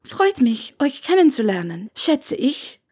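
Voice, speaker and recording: A woman narrator acts out a young witch in an audiobook story. She sounds curious and puzzled, pitched noticeably high, with a hesitant, faltering delivery that stammers at times. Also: big pitch swings, measured pace, a little mellow, breathy edge, exaggerated voice. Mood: unsure. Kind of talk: audiobook character dialogue.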